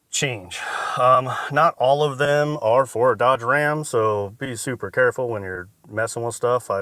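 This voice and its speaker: A man's voice: lowish voice, a bit bright, no echo. A man in his thirties giving an informal, step-by-step tech tutorial as the host. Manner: informal, instructional.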